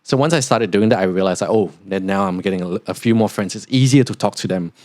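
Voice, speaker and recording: Young male podcast host, Singaporean accent softened toward neutral, low voice, loose filler-heavy delivery. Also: fast, dry recording. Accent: Singaporean, partly neutralized toward Australian. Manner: rambling, lots of "like" fillers. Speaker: podcast host, international student. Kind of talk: casual podcast monologue.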